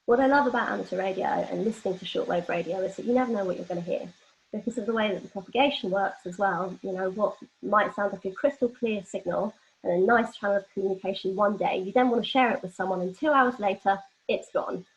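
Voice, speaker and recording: A young woman leading an informal online workshop, explaining things in a hesitant way with pauses mid-phrase. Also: mid-range voice. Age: young adult. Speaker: workshop leader. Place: online video call from home. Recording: dull lo-fi online call audio.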